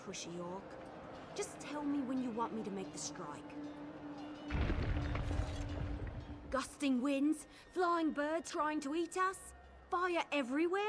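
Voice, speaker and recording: Young female wood elf archer, boastful, impatient, sarcastic, very animated high voice, video game, noisy ambience. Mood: exasperated.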